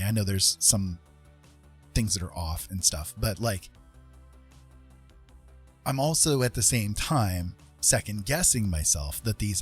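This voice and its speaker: Casting: male art streamer, very low husky register, loose rambling chat, self-deprecating, music underneath. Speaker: streamer, digital painter. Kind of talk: casual livestream chat while painting. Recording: music in the background.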